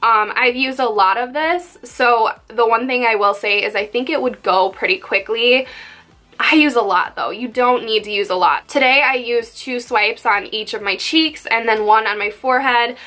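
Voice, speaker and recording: A young woman vlogging about her makeup favorites in a chatty, candid way, a little croaky from being sick. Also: fairly high pitch, moderate pace, sharp tone. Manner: chatty and candid, rambling asides. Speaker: beauty vlogger. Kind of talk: casual makeup favorites chat to camera.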